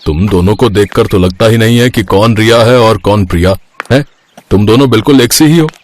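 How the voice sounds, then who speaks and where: Man playing a gentle, hesitant traveller. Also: lowish voice, fairly quick, pitched below the performer's own voice, close to an everyday voice. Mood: musing. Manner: hesitant, kindly. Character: gentle, hesitant adult male traveller, fond of children. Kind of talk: storybook character acting.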